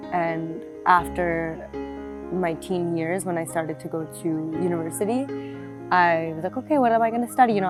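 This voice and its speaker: Young woman from Florida, lowish voice, reflective storytelling over background music. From South Florida, United States. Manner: reflective, with frequent fillers and restarts. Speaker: interviewee telling her life story. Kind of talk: personal storytelling interview. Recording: music playing behind the voice.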